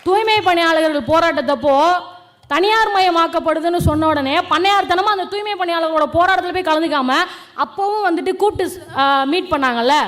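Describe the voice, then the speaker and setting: A young woman with a Tamil Nadu accent giving a fiery, colloquial political stage speech, challenging and combative, throwing out rhetorical questions. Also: extremely high pitch. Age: young adult. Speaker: political speaker.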